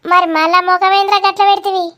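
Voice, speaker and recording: A woman's voice: extremely high pitch, little pitch movement, quick pace, bright, forceful tone. A woman voicing a nosy, grumbling village aunt in a cartoon comedy, with a Telangana Telugu accent and a blunt, gossipy way of talking. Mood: suspicious, probing.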